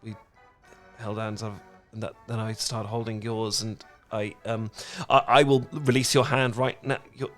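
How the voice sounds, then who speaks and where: A man's voice: on the low side, level tone, measured pace, fairly bright tone, faint music behind. A British man playing a cute, awkward young dork in a romantic roleplay, stammering and rambling as he talks. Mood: flustered. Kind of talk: romantic ASMR roleplay acting.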